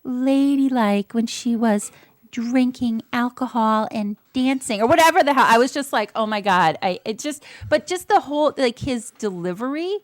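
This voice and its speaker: A woman with a slightly high voice gives her opinions on a podcast panel. She is blunt and casual, leaning hard on key words, and the sound is close and dry. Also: animated, moderate pace, no room sound. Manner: blunt, emphatic, drawing out words for stress. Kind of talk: casual opinionated review chat.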